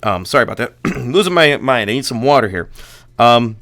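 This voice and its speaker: A man's voice: mid-range voice, expressive, a bit bright. Congested, rambling man. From Buffalo, New York area. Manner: rambling, restarts often, reads quotes haltingly. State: congested, losing his voice. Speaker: stock channel host. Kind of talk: casual investing update.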